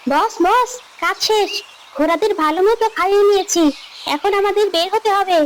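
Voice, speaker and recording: A woman voicing an urgent, excitable monkey henchman in a children's cartoon. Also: extremely high pitch, normal speed, a little hiss or hum, rough recording. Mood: eager. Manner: urgent, eager. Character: excitable tattling monkey henchman of an ogre boss. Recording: music behind the voice.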